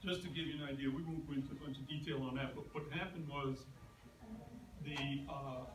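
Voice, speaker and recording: A man giving a presentation, measured and pausing. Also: mid-range voice, fairly even intonation. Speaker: guest speaker. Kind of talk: presentation to an audience. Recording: echoey room, distant mic, noisy.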